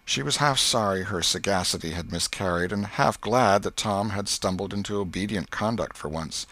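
A man narrating an audiobook in an expressive storytelling style. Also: fairly low pitch, normal speed.

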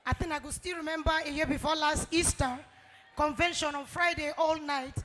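A woman's voice: high-pitched, steady tone, brisk, a bit bright. Fervent woman giving church testimony. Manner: fervent, ends in sung praise. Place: large church hall with congregation. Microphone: handheld microphone.

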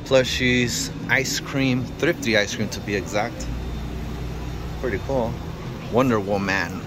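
A man's voice: mid-range voice, slow pace, noisy background. A man in his thirties filming a casual food vlog, chatty and upbeat as he narrates, recorded on a handheld camera in a small diner. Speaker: vlogger. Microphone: handheld camera at arm's length. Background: music playing.

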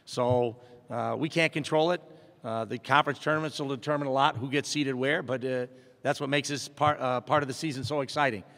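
A man's voice: medium pitch, steady tone, brisk, creaky, fairly bright tone. A middle-aged male coach speaks into a table mic at a postgame press conference. His talk is plainspoken and upbeat, full of "uh" and restarts. The room adds a long, ringing reverb. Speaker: basketball head coach. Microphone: table mic in front.

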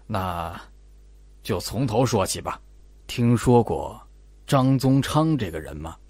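Man voicing a low, patronizing older investigator. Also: lively intonation, slow pace, a little mellow, no room sound. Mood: resigned, matter-of-fact. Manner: drawling, patronizing, sentence-final particles. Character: Old Bai, condescending, long-winded investigator, older man. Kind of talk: audiobook character dialogue.

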